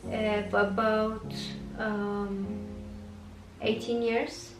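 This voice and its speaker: A woman in her late twenties, raised in Norway and originally from Kurdistan, with a mixed Norwegian and Kurdish accent, chatting casually to camera as a beauty vlogger and pausing often on fillers. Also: medium pitch, flat delivery, roomy sound, distant mic, music in the background, rough recording.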